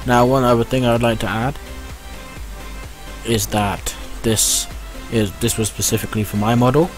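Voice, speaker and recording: A man's voice: mid-range voice, steady tone, moderate pace. A man giving a casual, reassuring step-by-step tech tutorial in an explanatory way. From United Kingdom. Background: music.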